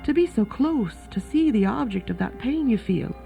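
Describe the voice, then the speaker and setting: A woman's voice: fairly high pitch, lively intonation, normal speed, creaky, warm tone. An actress playing a kindly, chatty, fussing boarding house landlady in a period radio drama. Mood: gently concerned. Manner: fussing, hospitable, gossipy. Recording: thin phone-like band, faint music behind.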